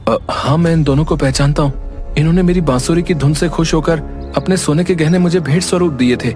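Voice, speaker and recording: A man voicing a proud, indignant younger brother in an animated moral story. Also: on the high side, brisk, faint music behind, close to an everyday voice. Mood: nervous, pleading. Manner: accusing, indignant. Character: proud, defiant younger brother with magic flute.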